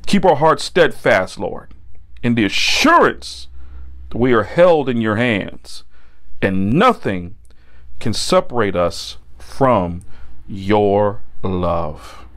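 A man preaching and praying as a pastor, earnest and deliberate in his phrasing. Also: lowish voice, sing-song, a bit bright. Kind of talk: sermon and spoken prayer.

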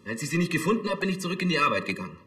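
An actor playing a nervous young apprentice in a crime drama, hesitant and defensive. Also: male voice, a little high, big pitch swings, rapid-fire, bright, forceful tone, reverberant. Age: young adult. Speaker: actor in a TV police series.

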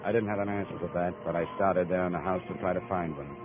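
Guy acting as deadpan american radio detective, deep voice, old muffled 1950s broadcast. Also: fast talker, over loud music, lightly acted. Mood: cool narration. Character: hardboiled male insurance investigator, cool and probing. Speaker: lead radio actor, narrator.

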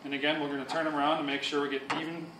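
A young man calmly explaining, step by step, how to sharpen speed skates, pausing now and then to restart a phrase. He is recorded in a large office room with a whiteboard. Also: on the high side, distant mic, slight background noise. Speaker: speed skating sharpening instructor. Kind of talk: instructional demonstration. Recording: echoey room, voice from off-mic.

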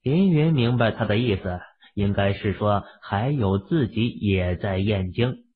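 A man's voice: on the low side, expressive, a little slow, slightly rough. A man narrating a novel as a scripted dramatic audiobook. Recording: muffled, phone-like, lo-fi audio.

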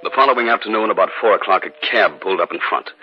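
An actor playing a skeptical, protective husband in 1950s radio drama style. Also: male voice, on the low side, fast talker, husky. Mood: grave narration. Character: skeptical husband, protective, narrating an eerie tale. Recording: dull 1950s radio recording with music.

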